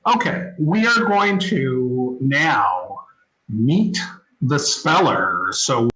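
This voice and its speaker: A man co-hosting an online spelling bee over a video call, drawing his words out slowly. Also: mid-range voice, sing-song, sharp tone, poor audio. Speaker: co-host.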